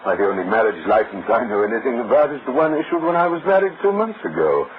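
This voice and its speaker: An actor playing a pompous, coldly suspicious husband in an old-time radio melodrama, speaking formally and theatrically. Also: male voice, mid-range voice, sing-song, quick pace, lightly acted. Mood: indignant. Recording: noisy, tinny old radio recording.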